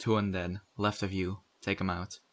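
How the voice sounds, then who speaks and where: A man playing a stern, principled and exasperated survivor in a zombie apocalypse audio roleplay, speaking earnestly and scoldingly with deliberate, pointed pauses. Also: deep voice, little pitch movement, heavy background noise, close to an everyday voice. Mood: curt, resigned. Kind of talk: audio roleplay acting addressed to the listener.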